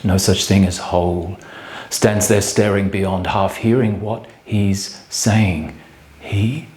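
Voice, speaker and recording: An actor playing a bleak, solitary man brooding on death in a theatrical monologue, speaking in grave, halting fragments. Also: lowish voice, fairly even intonation, a little mellow. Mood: bleak, haunted. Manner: halting, clipped fragments with measured pauses. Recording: echoey room sound.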